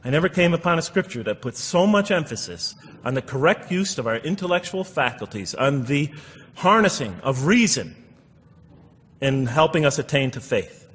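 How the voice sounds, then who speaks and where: A man's voice: a little high, sing-song, moderate pace, a bit bright, breathy edge. A man giving a public lecture explaining scripture, emphatic and repeating phrases for stress, in a large hall. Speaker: religious lecturer. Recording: echoey hall with long reverb.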